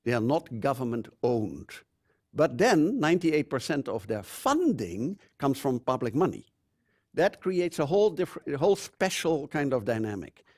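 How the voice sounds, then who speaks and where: An older man from the Netherlands with a Dutch accent, giving a measured conference talk with frequent fillers. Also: mid-range voice, very animated, a little mellow, no room sound, clean studio sound. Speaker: conference speaker. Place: conference room.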